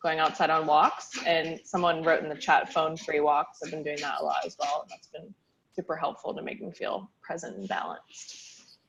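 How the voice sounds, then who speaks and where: A woman from the United States sharing her experience as a participant on a video call, her voice softening and trailing off. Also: deep voice, dull audio, a little hiss or hum. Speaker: workshop participant.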